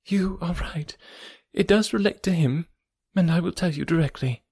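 A reader voicing a nervous middle-aged woman with a trembling, hushed voice in an audiobook. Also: mid-range voice, normal speed, breathy edge, voice pushed up. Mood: anxious. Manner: hesitant, hushed, half-whispered. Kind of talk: audiobook character voice.

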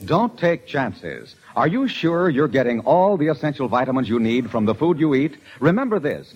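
A male commercial announcer delivers scripted old-time radio copy emphatically. His voice is husky and extremely animated in pitch. The recording is dull and lo-fi, like an aged broadcast. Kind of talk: scripted 1940s radio advertisement.

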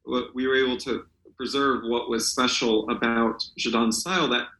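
A man is being interviewed as a translator and talks without a script. His voice is bright and sits at a middling pitch. He feels his way through sentences hesitantly, and the sound is noticeably roomy. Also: steady tone. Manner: halting, hesitant, searching for words. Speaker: literary translator, interview guest. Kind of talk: unscripted interview discussion.

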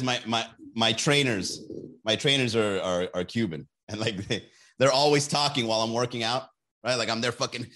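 Bright-voiced man joking on a stream. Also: mid-range voice. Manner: loose, profane, joking. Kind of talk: casual comedic live stream.

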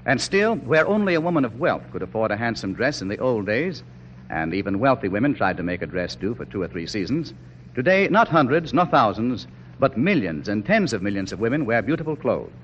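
A male American spokesman narrates a scripted 1940s radio message. He is emphatic and oratorical, with highly varied pitch. The old recording is dull, with faint noise and hum. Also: sing-song, fairly quick, a bit bright. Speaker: commercial spokesman.

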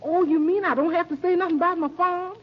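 An actress playing a good-natured, plain-spoken American housekeeper, folksy and earnest, in 1940s radio comedy style. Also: female voice, very high-pitched, fairly even intonation, quick pace. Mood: earnest, bothered. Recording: muffled old radio recording.

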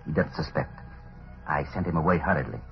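An actor playing a wary, scheming, formal crime boss in a 1930s radio drama, with careful wording. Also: male voice, a bass voice, brisk, vocal fry, soft background music. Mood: conspiratorial. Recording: muffled old radio recording.

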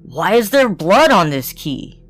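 A woman's voice: lowish voice, very animated, measured pace, soft background music. A woman voicing a cruel, stern husband who threatens his wife. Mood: menacing. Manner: menacing, commanding.

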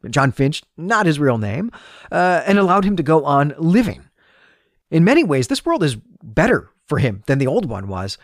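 A man's voice: mid-range voice, no echo, studio quality. A man hosting a podcast, giving an articulate, explanatory literary analysis in a semi-scripted, lecture-like monologue. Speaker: podcast host.

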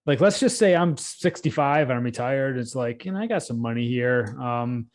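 A man's voice: mid-range voice, normal speed. Casual man in his late thirties asking questions. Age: 30s. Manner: casual, relaxed, asks questions with hypotheticals. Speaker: podcast host. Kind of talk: conversational podcast interview.

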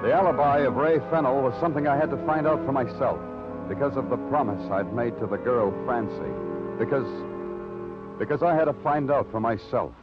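A man's voice: medium pitch, lively intonation, fairly quick, lightly acted. Male actor as world-weary police detective, clipped and dry, old radio drama, muffled recording, music behind. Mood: wry, brooding. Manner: hard-boiled, clipped questioning, dryly poetic narrating. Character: world-weary, wry New York police detective, man. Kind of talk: 1950s radio crime drama acting and narration.

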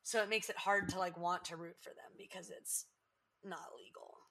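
Bright, rambling young woman recapping a show. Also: on the low side, moderate pace. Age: 20s. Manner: rambling, many "like" fillers, excitable outbursts. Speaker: podcast host. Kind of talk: casual chat recapping a TV episode.

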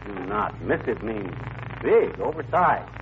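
An actor playing a blustery, wisecracking middle-aged husband with folksy, ungrammatical talk, in 1940s radio comedy style. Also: male voice, on the low side, big pitch swings, a little slow. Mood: indignant blustering. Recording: noisy, dull old radio recording.

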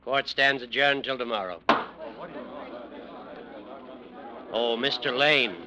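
An actor playing a stern, fatherly trial judge in 1940s radio drama, speaking formally and deliberately. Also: medium pitch, crowd noise, natural voice.

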